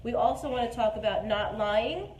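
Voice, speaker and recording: A woman's voice: fairly high pitch, normal speed, a little mellow. A woman in her forties hosting a dating advice show, chatting casually and emphatically in an echoey room. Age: 40s. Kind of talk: casual live chat. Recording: echoey room with clear background noise.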